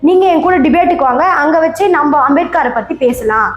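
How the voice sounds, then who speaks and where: A woman's voice: sits high, lively intonation, a little fast, lo-fi sound, soft background music. A woman hosting an informal explainer, retelling an online dispute conversationally in a roomy space. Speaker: channel host. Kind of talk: informal explainer commentary on social media feuds.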